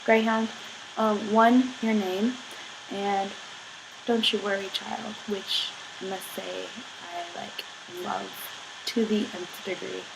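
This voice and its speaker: A young woman rambling in a casual vlog, filling every pause with "like". She is sick, and her voice sounds a little husky. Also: mid-range voice, flat delivery, background noise. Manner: chatty, filler-heavy, giggly, says "like" constantly. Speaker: vlogger.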